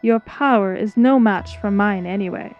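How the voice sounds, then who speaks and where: A woman's voice: mid-range voice, normal speed, lightly acted. A woman playing a proud, defiant young apprentice sorceress in a dramatized fantasy story, cool and determined. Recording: dull microphone, music behind.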